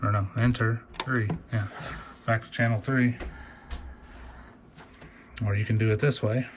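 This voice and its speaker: A man's voice: fairly low pitch, steady tone, measured pace. A man giving an unscripted, casual hands-on gadget demo in an easygoing, technical way. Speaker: hobbyist video presenter. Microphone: phone camera mic. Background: radio chatter and hiss.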